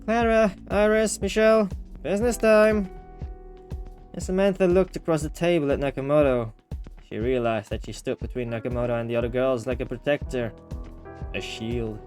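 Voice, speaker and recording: A man reading a visual novel aloud as an expressive storyteller, slipping into casual, wry asides. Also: medium pitch.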